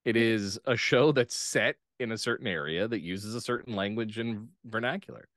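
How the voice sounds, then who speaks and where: Lower-voiced, thoughtful American man. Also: moderate pace, fairly bright tone, dry recording, clean studio sound. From United States. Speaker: playwright guest. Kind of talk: analytical interview talk.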